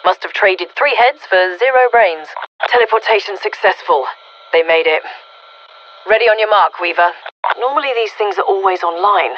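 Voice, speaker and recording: A woman's voice: mid-range voice, normal speed, highs cut off, a little hiss or hum. An actress voicing a wry, inquisitive female research scientist in a video game, speaking expressively through a radio filter. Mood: briskly informative. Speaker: video game mission commander. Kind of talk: scripted video game voice acting.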